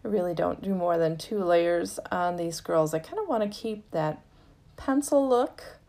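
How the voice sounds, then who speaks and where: A woman with a slightly low, soft-edged and mellow voice hosts a craft tutorial. She talks at a slow, unhurried pace and explains each step gently in casual, everyday narration.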